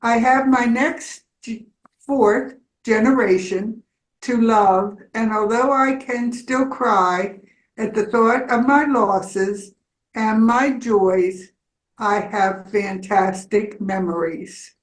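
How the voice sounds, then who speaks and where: A woman in her eighties reads a personal memoir aloud at an unhurried pace. Her voice sits a little high for a woman, and the room around her adds a clear echo. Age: 80s. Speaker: community storyteller reading a personal memoir. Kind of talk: scripted personal narration on stage. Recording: roomy, echoing live space.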